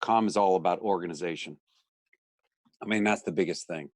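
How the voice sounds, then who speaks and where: A man's voice: low voice, brisk, dry recording, clean studio sound. A man speaking conversationally as an audio technician in a training panel discussion.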